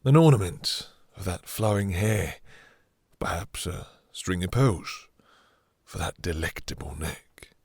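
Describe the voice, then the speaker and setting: Man playing a slow, low, gravelly vampire master. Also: lively intonation, no echo, studio quality, exaggerated voice. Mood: smug, controlling. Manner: slow, condescending, purring endearments, commanding. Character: possessive male vampire master, silky, menacing, seductive. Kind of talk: intimate dramatic roleplay audio.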